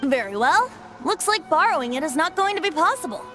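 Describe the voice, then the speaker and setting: A woman's voice: very high-pitched, big pitch swings, fairly quick, fairly bright tone, exaggerated voice. A woman playing a playful, theatrical young male bard who is a god in disguise, in a fantasy video game. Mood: sly, persuasive. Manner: playful, grandiose, cajoling. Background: background music.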